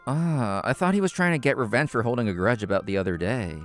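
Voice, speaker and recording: A man narrating a scripted story in the first person, in a measured, explanatory manner. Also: mid-range voice, animated, soft background music. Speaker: narrator and main character of a manga video.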